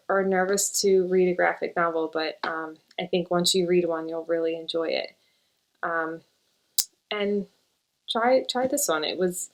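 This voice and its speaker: A woman teacher hosting a casual book chat, who coaxes her young daughter with questions and then talks easily about books. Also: on the low side. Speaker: teacher and show host.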